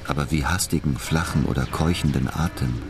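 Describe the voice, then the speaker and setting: A man with an extremely deep voice narrates a radio drama from a script. Loud music plays behind him. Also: a little fast.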